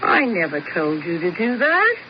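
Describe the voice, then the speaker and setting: A woman's voice: lowish voice, sing-song, moderate pace. An actress playing a desperate, scheming woman who pleads and then breaks into hysterical shrieks, in a 1970s radio drama. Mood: accusing. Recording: lo-fi vintage radio broadcast with heavy hiss.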